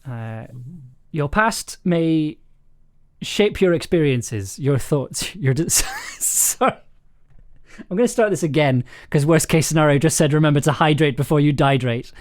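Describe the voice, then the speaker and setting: Halting, giggly man, slightly high voice. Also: huge pitch swings. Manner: hesitant, halting, self-interrupting; giggly, prone to chuckling mid-sentence. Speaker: streaming host and audio drama maker. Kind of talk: casual livestream chat.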